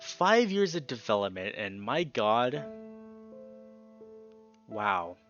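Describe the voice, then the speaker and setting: A man giving casual, emphatic game commentary as a let's play host, pausing dramatically and swearing mildly. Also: fairly low pitch, sing-song, a bit bright, dull audio. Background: guitar music.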